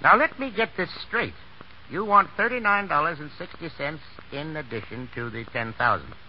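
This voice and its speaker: An actor playing a wealthy, patient middle-aged father in a measured, questioning 1930s American radio drama style. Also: on the high side, animated, close to an everyday voice. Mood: businesslike, puzzled. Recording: muffled, noisy 1939 radio broadcast.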